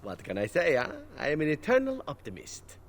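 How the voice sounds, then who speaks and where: A glib elf assassin. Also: male voice, a little high, wildly swooping pitch, a little fast. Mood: sly. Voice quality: smooth, playful and teasing. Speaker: game character. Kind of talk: video game voice acting.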